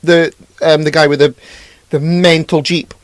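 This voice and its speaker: A man's voice: a little high, flat delivery, unhurried. A man co-hosting a podcast in casual, easygoing banter with some swearing. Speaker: podcast co-host. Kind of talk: casual chat.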